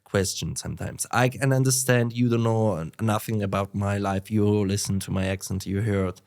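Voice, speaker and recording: A man's voice: lowish voice, moderate pace, vocal fry. A man from Italy with an Italian accent, chatting as a guest on a podcast and acting out what other people said. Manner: animated, mimics others in quoted asides. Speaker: guest guitarist. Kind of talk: casual podcast conversation.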